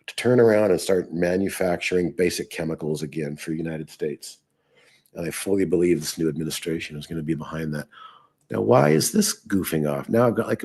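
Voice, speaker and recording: A deep, husky male voice commenting live on stock charts and trades. He is hoarse, as if losing his voice, and talks things through out loud while clicking around his screen. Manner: thinking aloud while working on screen. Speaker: trading show host.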